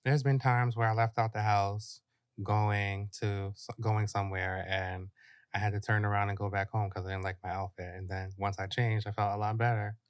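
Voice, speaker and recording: A man's voice: lowish voice, flat delivery, normal speed, clear, resonant tone, no room sound, clean studio sound. A young American man chatting casually as co-host of a podcast. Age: young adult. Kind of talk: casual conversational podcast chat.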